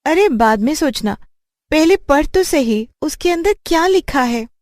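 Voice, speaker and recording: A woman voicing an inquisitive, excitable young schoolgirl who scares easily in a cartoon story. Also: high voice, a little slow, no echo, clean studio sound, voice pushed up. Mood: curious.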